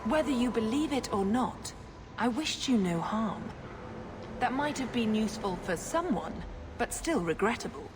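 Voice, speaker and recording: An actress playing a scheming, evasive religious sister in a video game, speaking formally with cool condescension. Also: female voice, on the high side, background noise, natural voice.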